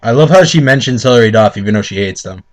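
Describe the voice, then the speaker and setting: A man's voice: lowish voice, lively intonation, fast talker. A young adult man co-hosting with casual, joking commentary, interjecting and repeating himself with some swearing. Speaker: co-host commentator.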